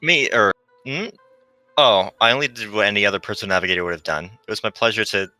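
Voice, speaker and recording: A man's voice: fairly low pitch, fast talker, sharp tone, no room sound, low-quality recording. A man voicing a polite, eager-to-please female android navigator, formal, literal and hesitant, in a visual novel reading. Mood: bashful, pleased. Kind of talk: visual novel read aloud. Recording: dry close mic, background music.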